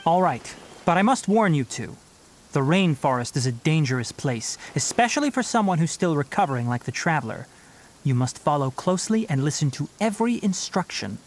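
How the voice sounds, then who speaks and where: A man's voice: on the high side, very animated, fairly quick, slight background noise. A man playing an earnest, knowledgeable young forest ranger in a video game, articulate and slightly pedantic as he instructs. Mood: earnest, instructive. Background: game music.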